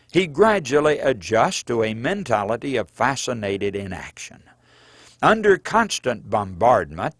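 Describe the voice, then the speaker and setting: A man reading scripted, sermon-like narration as a preacher, in an earnest, rhetorical manner with deliberate phrasing. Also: mid-range voice, no room sound, studio quality. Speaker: preacher and author reading his book.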